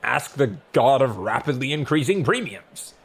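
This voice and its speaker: A man's voice: mid-range voice, expressive, normal speed, gravelly, slightly rough. A man voicing a brash, careless god of rocks in a comic fantasy audiobook, with a blustery, offhand, bossy manner. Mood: irritated, dismissive.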